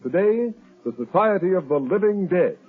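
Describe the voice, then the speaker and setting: A male 1930s radio announcer with a highish, very animated voice. He declaims intros and sponsor copy emphatically. The recording is tinny, with faint music. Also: moderate pace, breathy edge. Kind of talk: 1930s dramatic announcing and ad reading.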